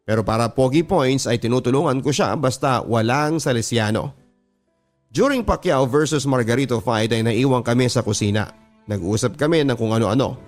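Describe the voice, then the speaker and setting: A man with a Filipino accent reading a first-person story as a radio drama narrator, in a sentimental manner. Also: medium pitch.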